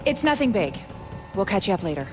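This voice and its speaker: An actress playing a tough, sharp-tongued intelligence operations officer in a video game, cool and authoritative. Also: female voice, mid-range voice, very animated, a little fast, lightly acted. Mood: brisk. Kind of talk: video game cutscene acting. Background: cinematic music.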